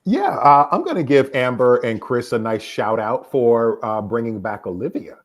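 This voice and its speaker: A man's voice: mid-range voice, big pitch swings, moderate pace. A man speaking as a panelist in a casual discussion, repeating words as he searches for phrasing.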